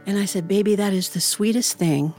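A middle-aged woman telling a personal story in an expressive, performed way with dramatic pauses. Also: medium pitch, soft background music. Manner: expressive, acts out family dialogue, dramatic pauses.

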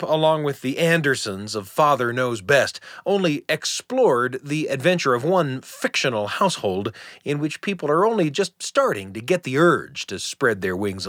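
A man hosting a storytelling show, narrating and introducing clips in an animated way. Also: medium pitch, dry recording, clean studio sound. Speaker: podcast host and storyteller.

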